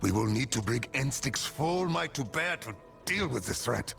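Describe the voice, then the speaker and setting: An actor playing a battle-hardened, dutiful military commander in a video game, speaking in a gravelly, grave, formal and commanding way. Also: male voice, mid-range voice, animated, moderate pace, music in the background. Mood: grave, resolute. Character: battle-hardened male army commander, stern, dutiful, urgent.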